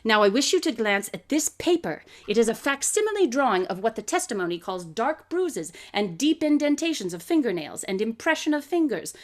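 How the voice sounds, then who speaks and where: A brilliant lady detective in a radio play. Her high voice swoops with lively emphasis as she lays out her reasoning in precise, theatrical diction, coolly sure of herself. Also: a little fast, hardly any pauses. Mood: probing, instructive. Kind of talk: live audio drama acting.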